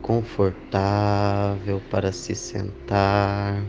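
A man leading a guided meditation, speaking in deliberate phrases with long pauses. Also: lowish voice, completely flat delivery, lo-fi sound. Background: clear background music.

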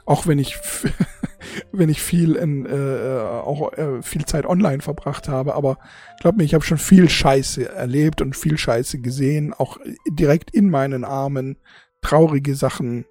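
A forty-year-old speaking off the cuff to an audience in a husky, gravelly voice, halting and repeating words, emotional and blunt with casual swearing. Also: medium pitch, mellow. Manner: halting, repetitive, searching for words. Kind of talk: unscripted casual monologue to an audience.

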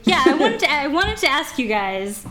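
A woman's voice: high voice, very animated, moderate pace, sharp tone. A woman co-hosting a podcast, chatting casually and jumping into the conversation. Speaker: podcast co-host. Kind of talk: casual chat.

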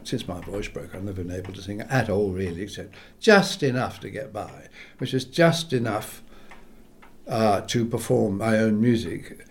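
A man's voice: lowish voice, moderate pace, a little hiss or hum. Older English-born man reminiscing animatedly. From England. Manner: rambling, animated, acts out quoted voices. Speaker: composer, interview guest. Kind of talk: reminiscing interview.

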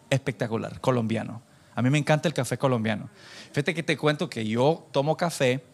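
A man preaching informally to a church congregation, animated and self-deprecating, bantering with listeners and dropping in English phrases. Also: medium pitch. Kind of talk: informal conversational sermon with anecdotes.

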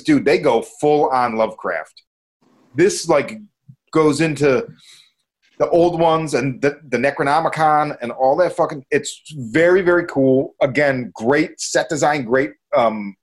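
A man reviewing movies casually as a podcast co-host, enthusiastic and swearing freely. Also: medium pitch.

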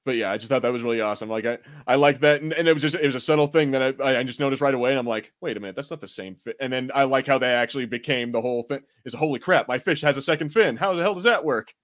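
A man's voice: medium pitch, very fast, few pauses, fairly bright tone. A man co-hosting a podcast, chatting informally in a rambling, sometimes sweary way. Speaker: podcast co-host. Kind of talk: casual chat, episode review banter.

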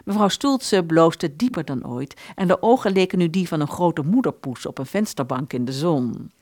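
A woman narrating a literary radio play in an expressive storytelling manner. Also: low-pitched, moderate pace, no echo, clean studio sound.

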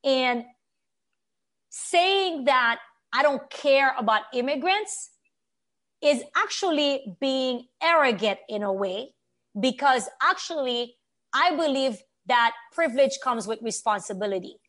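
A woman's voice: high-pitched, expressive, slow pace, a bit bright. A woman from Haiti giving her opinions in a conversational discussion, speaking earnestly and with emphasis.

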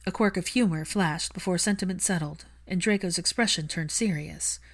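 A woman's voice: on the low side, moderate pace. A woman reading scripted fiction as an audiobook narrator.

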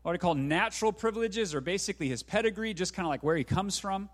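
A man's voice: high-pitched, animated, fast talker. A man pastor preaching a sermon in a conversational way, speaking straight to the congregation. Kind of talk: sermon with live interpreter.

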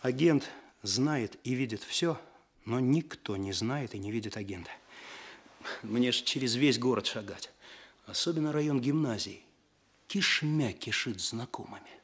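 A male actor playing an eager, naive young police detective in a radio play, earnest, hurried and deferential. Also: medium pitch, husky. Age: young adult.

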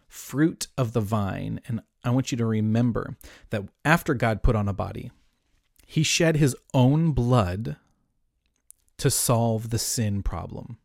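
A man quoting a calm teacher speaking quietly and earnestly to his friends at supper. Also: mid-range voice, slow, gravelly, no echo, clean studio sound.

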